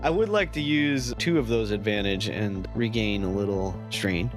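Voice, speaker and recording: A man's voice: on the low side, moderate pace, over music. A man playing a tabletop roleplaying game, chatting casually and hesitantly as he thinks aloud.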